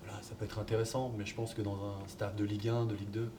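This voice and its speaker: Coach guy talking low, lots of euhs, kinda far from the mic with noise behind. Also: flat delivery, fast. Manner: hesitant, many fillers. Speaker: football coach. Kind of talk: casual interview answers. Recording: distant mic, background noise.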